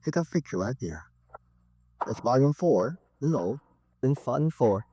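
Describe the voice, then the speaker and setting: A man's voice: medium pitch, expressive, a little slow, dark tone, slight background noise, natural voice. An actor playing an eccentric, rambling crank who earnestly preaches doom in a 1950s radio drama, hesitating as he goes. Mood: earnest, foreboding. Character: eccentric male crank author, rambling doomsday prophet.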